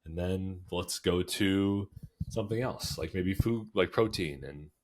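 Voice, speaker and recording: A man's voice: low-pitched, unhurried, slight background noise. A young man co-hosting a casual podcast, nasal and stuffed up from a cold, talking loosely with lots of "like" and "right?". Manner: relaxed, repeats "like" and "right?" often. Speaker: podcast co-host.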